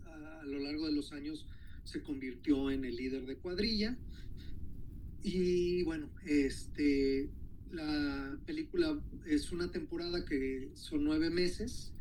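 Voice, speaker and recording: A man's voice: on the high side, a little mellow, slight background noise, low-quality recording. A Mexican man, a filmmaker, answering interview questions in a hesitant way, with many fillers and restarts. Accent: Mexican Spanish.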